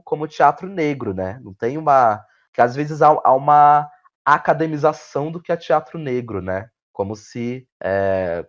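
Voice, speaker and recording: A man with a Brazilian accent gives reflective interview answers, searching for words, repeating phrases and often ending on tag questions. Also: medium pitch. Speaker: guest playwright and actor. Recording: dull, lo-fi audio.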